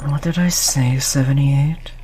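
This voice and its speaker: A performer of unclear sex playing a cold, condescending researcher who disciplines a captive test subject in a measured, mock-polite way, in an audio roleplay. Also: medium pitch, steady tone, a little mellow, slight background noise, lightly acted, low-quality recording. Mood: patronizing, exasperated. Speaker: scientist running human experiments. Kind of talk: scripted audio roleplay drama.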